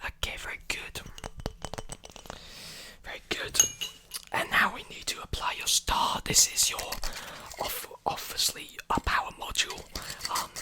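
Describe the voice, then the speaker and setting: Whispering young man doing ASMR roleplay. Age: young adult. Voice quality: breathy, unvoiced whisper. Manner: soothing, repeats phrases slowly. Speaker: ASMR performer playing a robot repair technician. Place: small indoor room with clothes hanging behind. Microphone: large desktop condenser mic, very close.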